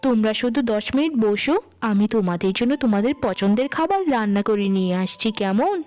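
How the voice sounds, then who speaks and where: A woman voicing a gentle, dutiful young youngest sister in a cartoon story, with a soothing, pleading manner. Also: medium pitch, expressive, brisk, pitched below the performer's own voice, natural voice. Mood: placating.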